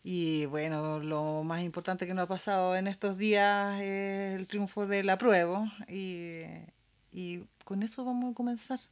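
A woman's voice: mid-range voice, fairly even intonation, a little slow. A woman with a Chilean accent, hosting a radio show in a casual, colloquial style. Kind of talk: casual chat and show presenting.

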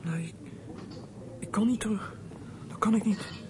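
An actor playing a troubled runaway boy in a Dutch radio play, with a husky voice and halting, guarded delivery. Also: medium pitch, warm tone, a little hiss or hum, close to an everyday voice. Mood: evasive, uneasy. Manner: hesitant, halting, guarded answers. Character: runaway boy, evasive, troubled, inward-looking. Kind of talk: Dutch radio play acting with inner monologue.

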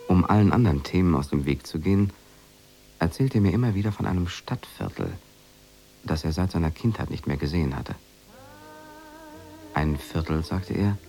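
An actor playing a brooding, quietly guilty expatriate husband, narrating a radio drama in a measured, reflective, subdued way with a gravelly voice. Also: male voice, rumbling low. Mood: reflective, restrained.

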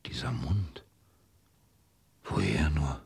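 Very deep, murmuring, dazed man. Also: very animated, vocal fry, breathy edge, no room sound, exaggerated voice. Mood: dazed, brooding. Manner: halting, whispered murmuring. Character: brooding, dazed man murmuring his inner thoughts. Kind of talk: radio drama inner monologue. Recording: music behind the voice.

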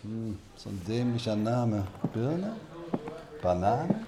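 Sarcastic, needling police interrogator. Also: male voice, fairly low pitch, very animated, takes it slowly, crowd noise, exaggerated voice. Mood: mocking. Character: sarcastic, impatient male police investigator interrogating a suspect. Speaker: actor in a radio play. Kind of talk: German radio drama dialogue.

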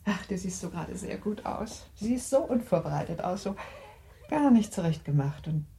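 A mellow, dark-toned voice of middling pitch as a woman acts a genteel mother in a radio drama. She is middle-aged, purposeful and earnest, choosing her words deliberately. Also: lively intonation, lightly acted. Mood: earnest, urging. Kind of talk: German literary radio play acting.

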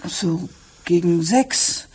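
An actress playing a shaken, upset housekeeper who speaks haltingly in a German radio crime drama. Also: female voice, fairly low pitch, darkish tone, breathy edge, full character voice, low-quality recording. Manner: halting, upset, repeats herself. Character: distraught, shaken housekeeper who found her employer dead.

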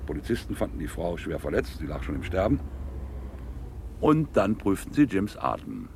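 A domineering, scheming man in a radio crime drama. His low voice is gravelly and moves widely as he commands and persuades, with clear vehicle noise behind him. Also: very animated, normal speed, darkish tone, heavily acted. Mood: grim, persuasive. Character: domineering, scheming male driver, callous boss covering up an accident.

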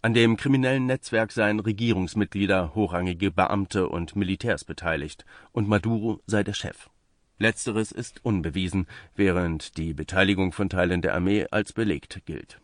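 A man's voice: deep voice, normal speed, no room sound, clean studio sound. A man reading out a scripted magazine report as narration. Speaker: reader of a magazine article.

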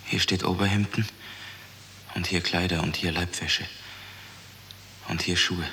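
Miserable young recruit in an old radio play, lowish voice, long reverb, hissy. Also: male voice, fairly even intonation, sharp tone, full character voice. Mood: desperate refusal. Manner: miserable, halting, protesting. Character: anguished, reluctant young male recruit. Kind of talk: 1960s German radio drama acting. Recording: noisy, hissy, heavily reverberant radio recording.

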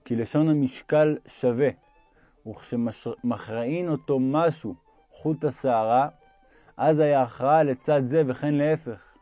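A man's voice: mid-range voice, dark tone, no room sound, soft background music. A man reading a religious text aloud as an audiobook narrator.

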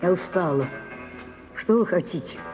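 An actress playing an imperious, willful older French stage star in a grand, declamatory radio drama style. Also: female voice, lowish voice, very animated, moderate pace, low-quality recording. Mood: weary, commanding. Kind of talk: theatrical Soviet radio drama acting. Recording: music behind, mono radio broadcast.

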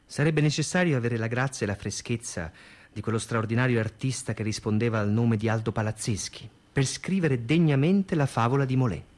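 A man's voice: mid-range voice, brisk, darkish tone. A man reading scripted theatrical narration and reviews.

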